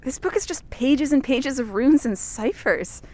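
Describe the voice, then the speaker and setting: Woman acting earnest female journalist, highish pitch, emphatic, righteous, audio drama, slight background noise. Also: animated, measured pace. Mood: awed, curious. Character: earnest, indignant young female reporter, easily outraged.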